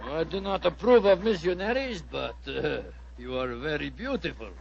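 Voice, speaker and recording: A pompous Russian envoy in an old radio play. The voice is high and gravelly, with a Russian accent and broken, imperious English. The sound is muffled and vintage. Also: male voice, normal speed. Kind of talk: 1940s radio acting. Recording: muffled 1940s radio recording.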